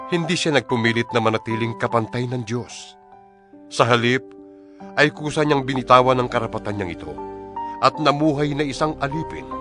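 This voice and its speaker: A man voicing an earnest apostle in a dramatized Bible reading, exhorting his flock in solemn, deliberate oratory. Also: medium pitch. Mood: solemn, reverent. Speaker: scripture reader.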